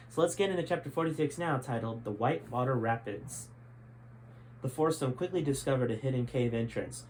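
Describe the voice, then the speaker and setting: A man with a mid-pitched voice narrates an adventure story like an audiobook host. His delivery is enthusiastic and dramatic, and there is faint ambient noise behind him. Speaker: host reading a chapter of their own book. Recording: clearly noisy background ambience.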